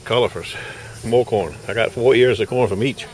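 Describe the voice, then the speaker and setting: A man's voice: mid-range voice, normal speed. An older man giving folksy, casual running commentary on his knife-sharpening work at an outdoor farmers market, recorded on a handheld camera, laughing often. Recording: faint outdoor noise.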